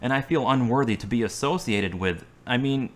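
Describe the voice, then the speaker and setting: A man playing a wounded, guarded young man who speaks in a flat, terse way. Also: medium pitch, normal speed, natural voice.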